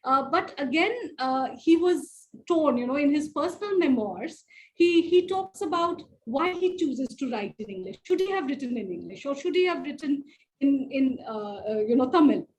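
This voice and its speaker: A woman's voice: sits high, animated, moderate pace, a little hiss or hum, low-quality recording. A woman in a literature discussion, answering questions politely with many fillers and restarts. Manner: polite, addresses others as ma'am.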